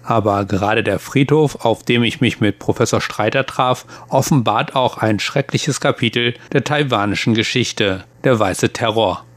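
A man narrating a radio feature as the reporter, reading a script in a measured way. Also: medium pitch, no room sound.

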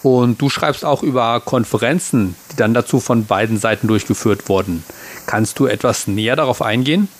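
A man interviewing a guest, calmly setting out background before he asks his question. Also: medium pitch, moderate pace. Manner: calm, framing context before asking.

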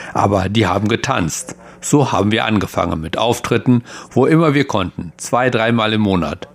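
A man reading a translated interview as a calm, even voice-over. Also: lowish voice, gravelly.

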